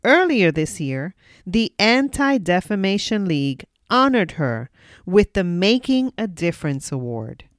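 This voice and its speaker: A woman's voice: fairly low pitch, sing-song, unhurried, no echo, studio quality. A woman hosting a podcast, reading a formal introduction and then asking questions with enthusiastic, affirming responses. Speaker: podcast host. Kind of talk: podcast interview.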